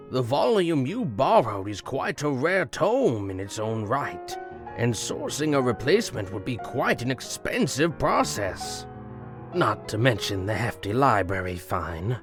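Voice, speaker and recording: A man playing a mild, scholarly monastery librarian in a comic dub, speaking in a polite, fussily formal, measured way. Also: mid-range voice, sing-song, over music. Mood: measured, concerned. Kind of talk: dramatic voice acting for a comic dub.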